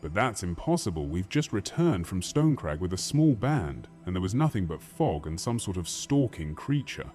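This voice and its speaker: A man's voice: sits low, big pitch swings, moderate pace, few pauses, a little mellow, faint music behind. A man playing a coarse, battle-weary castle warrior, blunt and dramatic, in a fantasy audio drama. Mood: weary, indignant.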